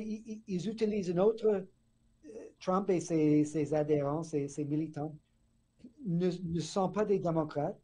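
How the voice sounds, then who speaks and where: Earnest professor with a very dark voice. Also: male voice, fairly high pitch. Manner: earnest, restarts words. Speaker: political science professor. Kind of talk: radio interview guest.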